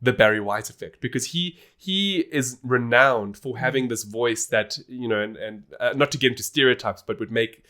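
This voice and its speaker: A man's voice: medium pitch, moderate pace, few pauses, pushed and bright. A man hosting a podcast, explaining things in a casual, informal and emphatic way. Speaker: podcast host.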